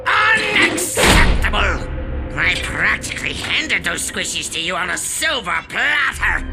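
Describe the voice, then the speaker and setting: An actor voicing a megalomaniacal, hot-tempered robot villain in a video game, with theatrical, mocking rants. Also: male voice, high voice, sing-song, measured pace, vocal fry, over loud music, exaggerated voice. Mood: furious ranting.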